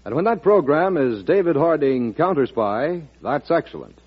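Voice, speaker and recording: A man's voice: on the high side, expressive, normal speed, breathy edge. Muffled 1940s radio announcer man. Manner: emphatic, formal. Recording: muffled old radio broadcast.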